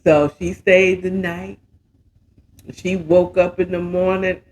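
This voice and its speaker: An American man hosting a show, casually retelling a romance story with dramatic, sassy asides and drawn-out exclamations. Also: sits high, little pitch movement, rough recording. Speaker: host of a story recap show.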